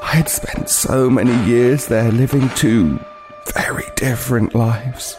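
An actor playing a haunted, remorseful homeless killer, narrating a horror audio drama gravely and with anguish. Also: male voice, medium pitch, steady tone, measured pace, music in the background, close to an everyday voice.

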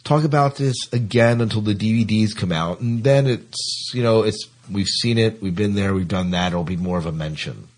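A man hosting a podcast in casual conversation, with a husky voice. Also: fairly low pitch, moderate pace, few pauses, darkish tone, a little hiss or hum. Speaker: podcast host. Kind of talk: casual chat.

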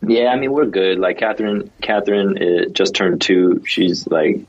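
A man with a low, husky voice speaks in a relaxed, friendly way, often restarting his sentences. His voice comes through a muffled, lo-fi remote call. He sounds like a podcast guest dialing in from far away. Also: moderate pace. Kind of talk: casual chat.

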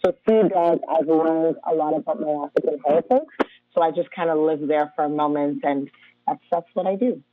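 A woman's voice: low-pitched, fairly quick, no echo. A woman from Ghana, a dance artist and educator, answering interview questions in an easygoing way with frequent laughs and ums. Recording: tinny phone-like line, dry.

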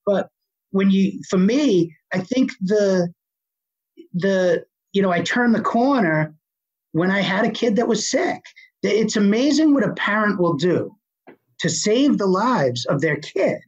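Husky speaker with a strong Boston accent. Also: mid-range voice, lively intonation, a little slow. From Boston, USA. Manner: confident, forceful, passionate, blunt. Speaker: guest, health advocate. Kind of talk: interview answer. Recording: dull lo-fi recording.

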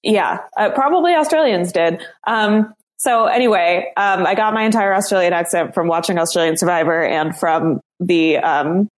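A woman's voice: lowish voice, normal speed, few pauses. A woman co-hosting a casual podcast, chatting playfully and laughing often. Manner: giggly and playful. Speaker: podcast co-host.

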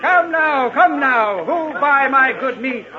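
A high, jaunty voice plays a bold young outlaw who teases and calls out like a market hawker. It is old radio adventure acting, on a dull 1950s recording with voices around. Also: male voice, expressive, takes it slowly, music in the background, crowd noise, heavily acted. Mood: jaunty hawking. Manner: jaunty, hawking and declaiming. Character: bold, teasing young outlaw disguised as a butcher.

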